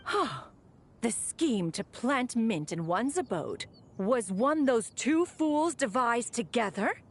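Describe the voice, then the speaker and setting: A woman's voice: a little high, sing-song, takes it slowly, husky, heavily acted. A woman voicing a proud, lofty immortal in a video game, speaking in a formal, imperious manner. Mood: stern, haughty.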